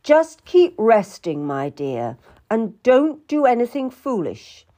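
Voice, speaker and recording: A woman's voice: fairly high pitch, wildly swooping pitch, slow, warm tone, pitched above the performer's own voice, exaggerated voice. Woman doing commanding, condescending king, emphatic swings. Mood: hearty, pleased. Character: domineering, boisterous king, possessive and commanding man.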